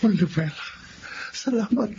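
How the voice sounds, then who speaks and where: A man's voice: high-pitched, animated, unhurried, full character voice. An actor playing an earnest, tender young man in love in a Filipino radio drama. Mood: tender, hopeful. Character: earnest young man engaged to his sweetheart. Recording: muffled lo-fi audio with clear background noise.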